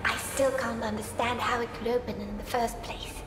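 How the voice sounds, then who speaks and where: High, reverberant ghost girl played by a woman. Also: little pitch movement, moderate pace, music in the background, close to an everyday voice. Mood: earnest. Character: ghostly girl spirit, earnest younger sister. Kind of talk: dramatic fantasy game dialogue. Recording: long ethereal reverb, noisy ambience.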